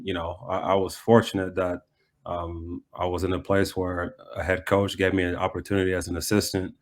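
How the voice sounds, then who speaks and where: An American man, a soccer head coach, answering interview questions conversationally. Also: sits low. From United States.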